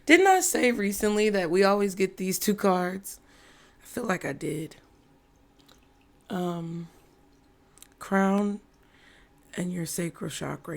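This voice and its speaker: A hoarse woman giving a casual, chatty tarot reading to her viewers. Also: on the low side. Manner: slow, chatty, laughs often. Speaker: tarot reader.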